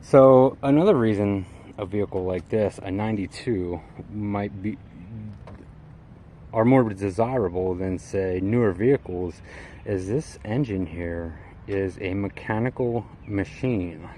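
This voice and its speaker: A man's voice: on the low side, unhurried, dark tone, slight background noise. A man giving a casual, unscripted walkaround of his vehicle in a folksy, colloquial way with hobbyist slang. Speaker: vlogger showing his vehicle build.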